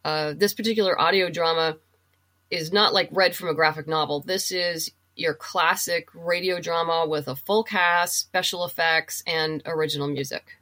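Bright-voiced middle-aged woman in conversation. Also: lowish voice, steady tone, moderate pace. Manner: chatty, explanatory. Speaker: podcast guest, writer and producer. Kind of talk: casual interview talk.

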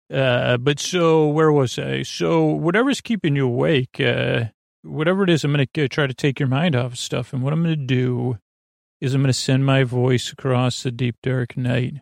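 A man with a creaky voice hosting a sleep podcast, giving a meandering, halting, soothing bedtime monologue that stammers and drifts off into tangents. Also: mid-range voice, no room sound, studio quality.

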